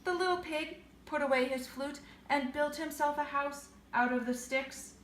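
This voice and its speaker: A woman reading a picture book aloud to children in an expressive, sing-song manner. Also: sits high, moderate pace. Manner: expressive, sing-song, with questions to young listeners. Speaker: storyteller. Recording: echoey room with faint noise.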